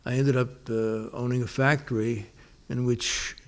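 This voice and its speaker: An older man talks through old memories in an unhurried way. He keeps circling back with restarts and fillers, and his voice sits in a mid-range pitch. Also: fairly even intonation. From New York, United States. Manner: rambling, many restarts and pauses. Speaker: interviewee. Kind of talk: casual oral-history interview.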